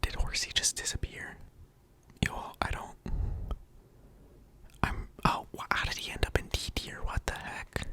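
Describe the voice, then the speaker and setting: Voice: a little slow, long pauses, bright, forceful tone. A person whispering casual, rambling ASMR-style commentary as a video host, in a hesitant and playful manner. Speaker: video host ranking game characters.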